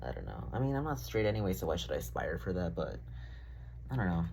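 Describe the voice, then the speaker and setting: A man's voice: deep voice, very animated, fast, creaky, darkish tone, a little hiss or hum, poor audio. A young man rambling casually in a diary-style vlog, full of fillers, swearing and self-deprecating asides. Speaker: vlogger.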